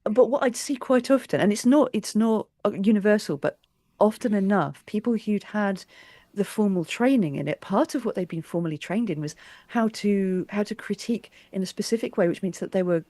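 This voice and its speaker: A woman's voice: medium pitch, expressive, brisk, warm tone, no echo. A woman chatting casually as an interviewer, thoughtful and anecdotal, often repeating words as she works out her phrasing. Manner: hesitant, repeats words while thinking.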